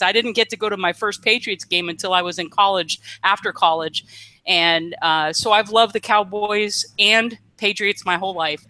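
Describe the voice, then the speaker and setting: Chatty lady in her 50s from vermont, bright voice, dry close sound. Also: medium pitch, no room sound, low-quality recording. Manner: chatty, animated storyteller with laughs. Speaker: guest. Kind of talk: casual chat. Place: home room, bare walls.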